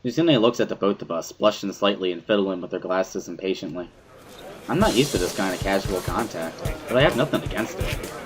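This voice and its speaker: Low-voiced man narrating, expressive range. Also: fairly quick, over music. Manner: introspective, storytelling. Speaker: dramatic reader of a visual novel. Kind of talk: first-person scripted narration.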